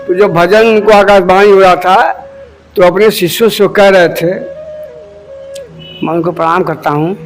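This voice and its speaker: High-voiced middle-aged man giving a rambling devotional talk. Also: fairly even intonation, unhurried, pauses often, soft background music, rough recording. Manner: rambling, repeats himself, often addresses listeners as friends. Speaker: spiritual teacher explaining devotional songs. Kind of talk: informal devotional talk to viewers.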